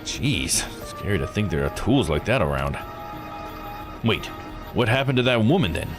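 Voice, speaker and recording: Man doing a low, gruff, weary detective. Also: very animated, normal speed, over music. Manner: casual, grumbling. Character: gruff, world-weary male police detective. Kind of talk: voicing visual novel dialogue.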